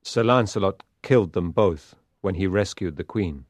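Man acting a sober, low-voiced knight. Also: dry recording. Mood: grave, sombre. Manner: grave, measured, matter-of-fact. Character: sober, plain-speaking male knight bearing grave news. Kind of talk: dramatised storybook acting.

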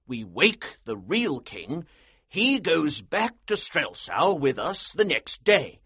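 A man voicing a forceful military colonel in an audiobook, urgent and emphatic, with a husky strained voice. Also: fairly high pitch, very animated, dry recording, voice pushed up, full character voice. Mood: excited. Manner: slow, emphatic, commanding. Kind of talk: audiobook character acting.

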